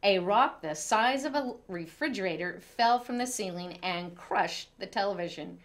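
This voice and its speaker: A woman reads aloud as a storyteller. She narrates a children's picture book expressively, at a medium pitch for a woman. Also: expressive, moderate pace.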